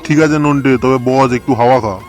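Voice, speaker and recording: A man's voice: medium pitch, fairly even intonation, fast, darkish tone, soft background music, natural voice. A man voicing a foul-mouthed young neighbourhood club boss in a comedy cartoon, taunting and dismissive. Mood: teasing.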